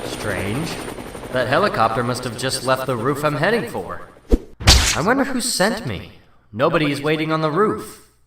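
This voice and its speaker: Determined wisecracking superhero , male reader, melodramatic thinking aloud, lively, echoey room, comic-book drama. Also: medium pitch, moderate pace, very noisy, natural voice. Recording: roomy, distant mic, loud music underneath.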